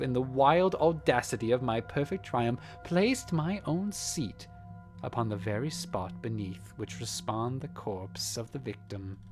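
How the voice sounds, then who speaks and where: A man playing an unhinged, boastful murderer in a dramatic gothic story reading, with theatrical, deliberate and emphatic phrasing. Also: mid-range voice. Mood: smug. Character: unhinged murderer confessing, boastful, cunning, spiralling into frantic terror. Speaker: voice actor narrating a short story. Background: music.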